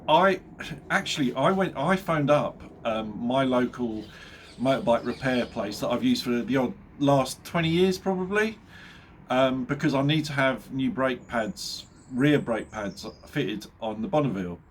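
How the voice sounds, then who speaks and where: A middle-aged Englishman talks to his viewers in an easy, rambling way, and he sounds nasal and stuffed up from a cold. His voice sits at an ordinary middle pitch, and he breaks into chuckles at his own jokes. A faint hiss of background noise runs underneath. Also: animated, a little slow. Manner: chatty, jokey, laughs at his own jokes, many fillers. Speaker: motorbike vlogger. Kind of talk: casual chat to camera.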